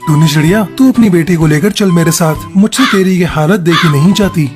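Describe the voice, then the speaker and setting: A man's voice: on the high side, a little fast, a little mellow, soft background music, close to an everyday voice. A man voicing a stern, blunt grown male bird in a children's cartoon story. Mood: concerned. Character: stern adult male bird, moneylender and elder.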